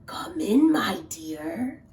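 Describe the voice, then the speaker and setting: A woman's voice: mid-range voice, sing-song, a little mellow, a little hiss or hum. A woman voicing a big, hungry, sly wolf from a children's storybook, growling and snarling. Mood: menacing. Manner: growling, snarling, drawn-out menace.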